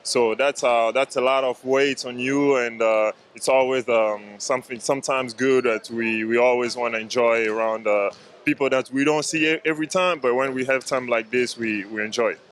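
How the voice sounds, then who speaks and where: A man who plays basketball professionally, giving interview answers in a halting way with many fillers and restarts. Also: medium pitch, fairly even intonation, fairly bright tone.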